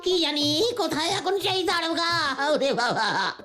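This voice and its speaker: A man's voice: very high-pitched, big pitch swings, measured pace, exaggerated voice. A man voicing a bumbling young cartoon prankster who blusters like a bandit but is cowardly underneath. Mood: panicked. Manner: theatrical boasting that collapses into flustered hesitation. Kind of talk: cartoon voice acting. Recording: music behind the voice.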